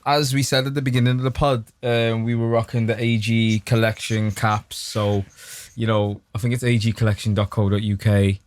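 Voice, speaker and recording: A man's voice: lowish voice, flat delivery, normal speed, few pauses, dry recording. A man, a podcast host in casual, laid-back chat.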